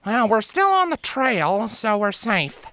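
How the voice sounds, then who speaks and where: A young female earth pony with folksy phrasing and a nervous temper, performed by a narrator for a storybook. The voice sits high and moves around in pitch. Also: big pitch swings, takes it slowly, pushed and bright, exaggerated voice. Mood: uneasy.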